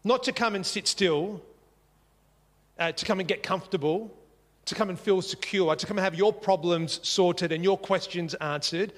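A man's voice: sits high, moderate pace, big-room sound. A man preaching a sermon in earnest, repeating key phrases for emphasis. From Singapore. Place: church.

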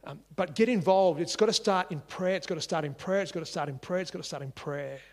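A man's voice: sits high, quick pace, a little mellow, big-room sound. A man preaching a sermon in earnest, repeating key phrases for emphasis. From Singapore. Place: church.

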